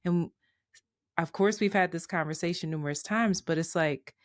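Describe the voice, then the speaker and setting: A woman's voice: fairly low pitch, normal speed, dry recording, studio quality. A woman talking through her thoughts in a candid, casual podcast chat, with some casual swearing.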